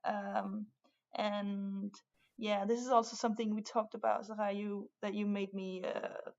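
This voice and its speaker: A young woman from Denmark with a Danish accent, speaking English haltingly in a casual conversation, full of fillers and restarts. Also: medium pitch, flat delivery, a little mellow, dull audio. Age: young adult. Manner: hesitant, many fillers and restarts. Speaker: art student, guest.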